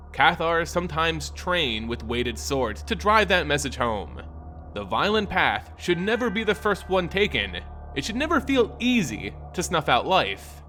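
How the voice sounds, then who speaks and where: A man narrating a scripted fantasy story and recap in a dramatic, expressive way. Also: a little high, a little hiss or hum. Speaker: narrator and host of a lore channel.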